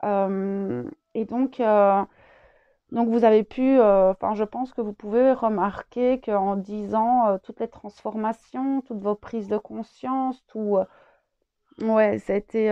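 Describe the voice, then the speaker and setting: A woman's voice: mid-range voice, fairly even intonation, measured pace, smooth, dull audio. A French woman with a head cold, channeling a spiritual message, hesitant with many fillers and repeated words.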